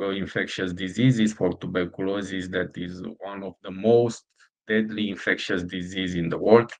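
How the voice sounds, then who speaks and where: Slow, halting Romanian-accented man. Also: on the low side, poor audio. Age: middle-aged. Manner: halting, many fillers and restarts. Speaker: startup founder. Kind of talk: interview answers.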